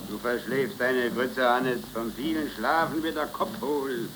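A man's voice: medium pitch, measured pace, lightly acted. A man playing a blunt, grumbling, cheeky unemployed labourer, acted in colloquial 1930s radio drama style. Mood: needling, blunt. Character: grumbling, cheeky unemployed working-class roommate. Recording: noisy vintage 1930s radio recording.

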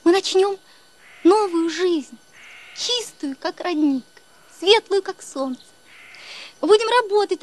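An actress playing a devoted, emotional woman who pleads fervently in a film drama. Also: extremely high pitch. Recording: noisy film soundtrack.

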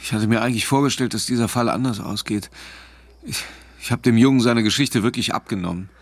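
An actor playing a weary, sympathetic investigator, hesitant and low-key, in a German radio drama. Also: male voice, a little fast, no room sound, natural voice. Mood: sleepy, disappointed. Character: weary, sympathetic male investigator woken early.

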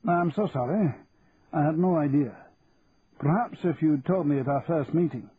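A man's voice: on the high side, sing-song, normal speed, husky, phone-quality sound, heavily acted. Man playing a courteous Scottish doctor, raised voice. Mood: apologetic. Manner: cheerful, courteous. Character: cheerful soft-spoken Scottish male doctor, courteous.

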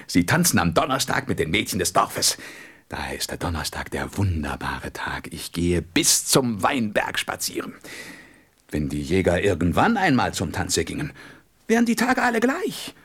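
An actor playing a gentle, wise and wistful fox in a radio play, speaking tenderly and deliberately and often dropping into whispers. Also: male voice, low voice, exaggerated voice. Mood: animated, instructive. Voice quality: husky, breathy voice that drops into whispers. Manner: deliberate, expressive, tender storybook delivery.

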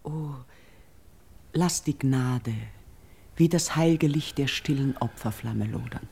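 An actress playing a noble, devout young priestess in a classical radio drama, pleading in solemn, declaimed verse. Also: female voice, extremely low pitch, slow, vocal fry, a little mellow, a little hiss or hum, exaggerated voice. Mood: gentle pleading.

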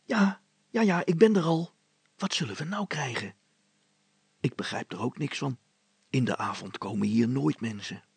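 A man's voice: mid-range voice, lively intonation, normal speed, gravelly, pauses often, breathy edge, dry recording, pitched above the performer's own voice, heavily acted. A man voicing a simple, nervous young son in a storybook, whispering breathily. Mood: dim, flustered.

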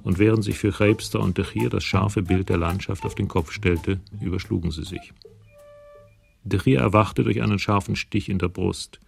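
A man narrates a German radio crime drama from a script. His voice is low and gravelly, with music playing clearly behind it. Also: steady tone, moderate pace.

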